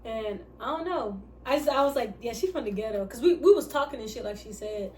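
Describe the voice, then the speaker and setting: A woman's voice: on the high side, animated, a little fast, a little mellow. A woman chatting casually during a mukbang, rambling and losing her train of thought. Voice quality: light, somewhat feminine voice. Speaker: vlogger answering couple questions. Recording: distant mic, faint hiss.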